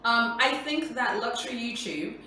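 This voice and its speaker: A woman's voice: fairly high pitch, animated, normal speed, bright, forceful tone, a little hiss or hum. A woman whose first language is Swahili, hosting a casual Q&A for her viewers in a chatty, emphatic way and repeating her points. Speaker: luxury YouTuber host. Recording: echoey room, voice far from mic.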